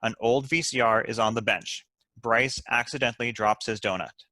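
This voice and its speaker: A man's voice: medium pitch, moderate pace, bright, forceful tone, no echo. A man reading stage directions as scripted narration at a comedy table read.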